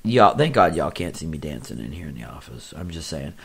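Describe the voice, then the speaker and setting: A man with a very low, scratchy voice talks through a casual personal update. He rambles, loops back on his own words and makes light of himself. He sounds middle-aged and speaks from an office. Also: big pitch swings, fairly quick, creaky, fairly bright tone, husky. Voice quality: scratchy, deeper voice. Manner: rambling, repeats himself, self-deprecating. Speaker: podcast host. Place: indoors in an office.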